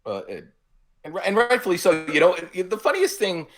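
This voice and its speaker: A man in his forties co-hosting a casual podcast, explaining his points in a measured, thoughtful way. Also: sits high, huge pitch swings, crowd noise. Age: 40s. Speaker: podcast co-host.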